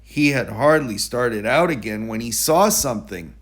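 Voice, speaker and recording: A man reading a children's story aloud in an expressive, deliberate storytelling delivery. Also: medium pitch. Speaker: storybook reader.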